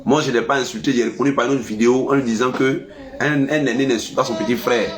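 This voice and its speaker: Ivorian man scolding with a stuffy cold. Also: fast talker. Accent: Ivorian French. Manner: lively, scolding, animated pitch jumps. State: has a cold. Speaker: vlogger. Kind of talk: casual monologue to camera.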